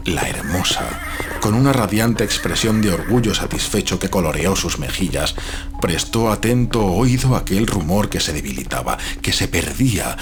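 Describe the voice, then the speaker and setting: Low deep guy reading a spooky classic story, measured and dramatic, bit of music behind. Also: gravelly. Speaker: narrator. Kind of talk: scripted literary horror narration.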